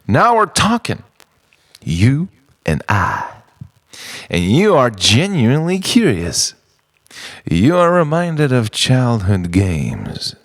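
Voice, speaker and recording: A man delivering a spoken-word poetry monologue in a theatrical, halting way, moving between hushed murmurs and louder lines and repeating words like a refrain. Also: mid-range voice, huge pitch swings, no echo, studio quality. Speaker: poet, spoken-word performer.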